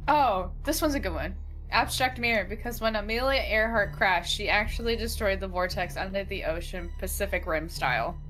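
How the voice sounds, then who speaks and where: A woman's voice: medium pitch, normal speed, a bit bright, poor audio. Irreverent woman co-hosting over music. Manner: irreverent, slangy, swearing. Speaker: podcast co-host. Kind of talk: casual chat, reading out online comments.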